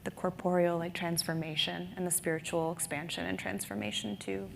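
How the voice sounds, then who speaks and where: A woman speaking on a writers' panel, reflective and halting, with many fillers. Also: lowish voice, little pitch movement, vocal fry, long reverb tail, a little hiss or hum. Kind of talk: panel discussion. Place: conference stage.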